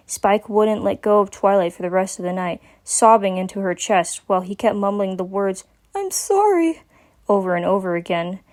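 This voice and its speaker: A woman's voice: medium pitch, a little mellow. A woman giving a dramatic reading of a scripted story as its narrator.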